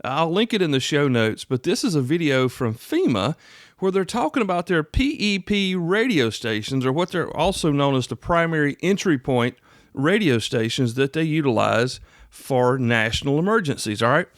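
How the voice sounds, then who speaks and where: A man's voice: on the high side, sing-song, moderate pace, no room sound, studio quality. A man hosting a podcast solo, talking in a casual, folksy, rambling way full of asides. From South Carolina, United States. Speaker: podcast host. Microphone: close podcast microphone.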